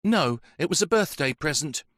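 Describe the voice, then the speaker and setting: A guarded, anxious old woman, put on by a male narrator, answering in short, curt replies. The voice is pitched high above his own and sounds dry. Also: animated, normal speed, a bit bright, no room sound. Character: anxious elderly mother, guarded.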